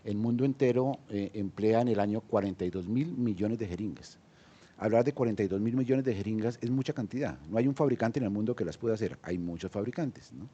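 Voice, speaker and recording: A Colombian man speaking on a conference panel in a low, mellow voice. He talks hesitantly, full of fillers and restarts as he explains his work. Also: a little fast, husky, muffled recording. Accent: Colombian Spanish. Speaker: entrepreneur panelist. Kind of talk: panel discussion.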